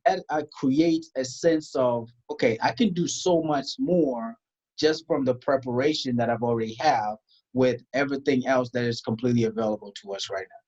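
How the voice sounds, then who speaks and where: A man's voice: mid-range voice, moderate pace, a little mellow, lo-fi sound. A man from South Sudan, a guest in an interview, talking emphatically and asking rhetorical questions. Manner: emphatic, rhetorical questions, frequent "you know" and "right?". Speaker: guest, tax strategist. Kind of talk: conversational interview.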